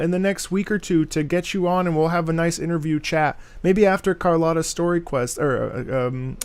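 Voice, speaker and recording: Enthusiastic man reacting, slightly gravelly voice. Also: sits high, dry recording. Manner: enthusiastic, effusive. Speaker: livestream host reacting to game music. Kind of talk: casual live reaction commentary. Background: game music.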